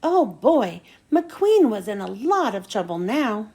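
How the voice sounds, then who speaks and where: Woman, high voice, very lively intonation, children's read-aloud narration. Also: slow pace, slightly rough. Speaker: storybook reader.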